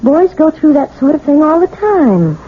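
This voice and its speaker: A troubled married piano teacher in an old radio drama. Her high voice rises and falls sharply, halting and pleading. The recording is narrowband and muffled, with noise over it. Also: very animated. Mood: uneasy, restrained. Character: anxious married woman piano teacher, abused by husband.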